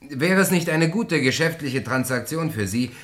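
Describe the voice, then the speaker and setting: An actor playing a quietly shrewd newcomer to high society, polite and understated, in a German radio play. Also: male voice, lively intonation, normal speed. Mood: shrewd, assured.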